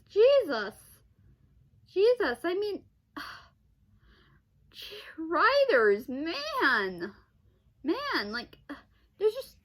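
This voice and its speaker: High-pitched young woman chatting off the cuff. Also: fairly bright tone. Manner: halting, repeats and rephrases. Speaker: online video host. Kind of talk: casual unscripted commentary.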